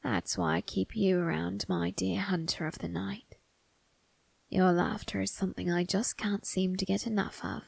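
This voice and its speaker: A woman voicing an ancient male undertaker in an ASMR roleplay, sly and teasing, with a breathy, husky, creaking voice and formal phrasing. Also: fairly low pitch, steady tone, a little mellow, dry recording, full character voice. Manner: slow, formal, teasing delivery with drawn-out tag questions.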